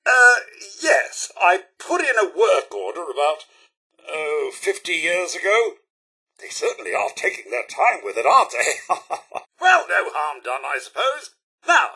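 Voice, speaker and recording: An actor playing a polite, fussy robot butler with a British accent in a video game, speaking in formal, over-polite service patter with a husky edge. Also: male voice, sits high, pushed and bright, exaggerated voice. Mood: apologetic, fussy. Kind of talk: theatrical video game voice acting.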